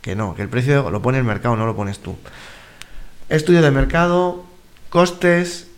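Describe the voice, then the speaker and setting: Blunt, emphatic man, mid-pitched. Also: measured pace. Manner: emphatic, blunt, repeats points. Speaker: financial advisor, radio show contributor. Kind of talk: casual advisory talk. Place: radio studio.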